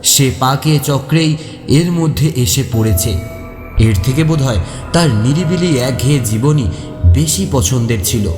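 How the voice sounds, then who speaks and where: A man narrating a first-person horror story in an expressive, suspenseful, dramatic way. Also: medium pitch, a little slow. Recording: echoey long reverb, faint music behind. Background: faint music.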